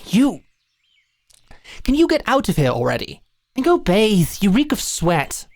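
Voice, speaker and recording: A woman's voice: medium pitch, huge pitch swings, measured pace, darkish tone, dry recording, exaggerated voice. A woman playing a stern, proud female knight in a fantasy audio drama, with a dry, commanding, taunting manner. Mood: exasperated. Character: stern, proud woman knight, brusque and teasing sparring mentor.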